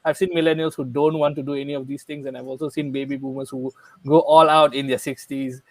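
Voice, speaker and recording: A man explaining ideas in a relaxed podcast conversation, earnest and thorough, often checking in with "right?". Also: medium pitch, quick pace, dry recording, low-quality recording. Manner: earnest, explanatory, frequent "right?" tag questions. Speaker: guest speaker.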